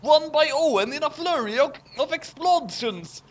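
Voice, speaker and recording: A man reading fan fiction aloud, halting and repeating garbled phrases. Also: very high-pitched, lively intonation.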